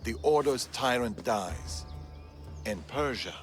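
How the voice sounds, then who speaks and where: An actor playing a resolute, guarded older Persian assassin, measured and grave, in a video game drama. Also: male voice, mid-range voice, animated, soft background music.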